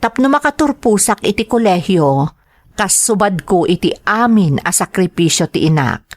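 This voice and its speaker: Female narrator, lower pitch, dry close sound, scripted dramatic letter reading. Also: no echo.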